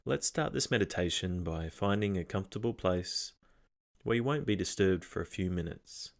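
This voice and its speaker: Male meditation guide, deep register, gentle and measured scripted narration. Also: creaky. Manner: calm, measured, gently instructing.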